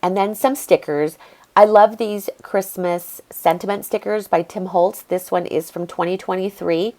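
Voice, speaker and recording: A woman's voice: mid-range voice, steady tone, unhurried, mellow. A middle-aged woman, a bit congested, chatting easily through a step-by-step craft tutorial as she works. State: congested from allergies. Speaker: craft video host.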